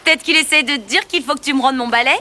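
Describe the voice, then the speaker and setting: A woman voicing a lively, confident kid in a French-dubbed eighties cartoon. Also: sits high, racing, natural voice. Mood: cheeky.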